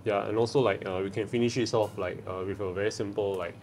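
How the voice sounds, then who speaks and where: A man's voice: lowish voice, quick pace, faint music behind. A man, casually explaining a recipe in a kitchen, with loose fillers. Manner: relaxed, explains with many fillers. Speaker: chef showing the recipe. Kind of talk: casual cooking chat. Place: commercial kitchen, indoors.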